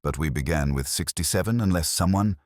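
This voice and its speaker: A man's voice: sits low, a little fast, darkish tone, no room sound, clean studio sound, lightly acted. A man voicing an anxious, fussy female club volunteer in a story, precise and gossipy, muttering and hedging. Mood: anxious counting.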